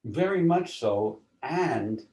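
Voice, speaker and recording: A man explaining ideas as an interview guest in a deliberate, teacherly way, often posing rhetorical questions. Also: medium pitch, animated, dark tone. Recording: roomy, echoing voice.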